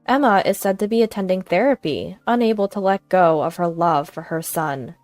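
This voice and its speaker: A woman's voice: on the low side, normal speed, darkish tone, no echo. A woman narrating a scripted first-person family story. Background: background music.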